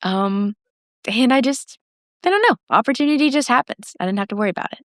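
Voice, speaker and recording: A teenage girl, co-hosting a podcast in casual, chatty and loud conversation. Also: a little high, animated, a little fast, dry recording, studio quality. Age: teens. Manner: chatty and loud, laughs between stories. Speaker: podcast co-host. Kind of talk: casual chat.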